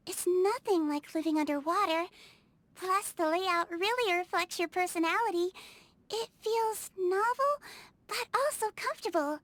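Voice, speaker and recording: Tiny, very high-pitched nurse creature girl. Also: fairly bright tone, heavily acted. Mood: cheerful, curious. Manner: sweet, polite, chirpy bedside manner. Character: small cheerful melusine nurse girl, caring and gently quirky. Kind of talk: animated video game character voice acting.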